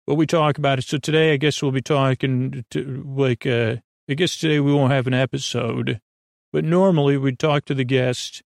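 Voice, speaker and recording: Gravelly, rambling male bedtime podcast host. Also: mid-range voice, fairly even intonation, dry recording, clean studio sound. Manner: meandering, halting, often trailing off and restarting; goofy, self-deprecating. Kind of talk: rambling, casual bedtime monologue.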